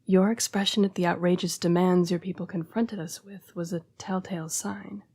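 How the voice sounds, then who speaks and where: A woman playing a young-seeming, formal and apologetic female vampire in an intimate audio roleplay, breathy and often dropping to a whisper. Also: fairly low pitch, little pitch movement, a little mellow, no room sound. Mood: somber, earnest. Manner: formal, polite, measured phrasing. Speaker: vampire council's correspondence assistant.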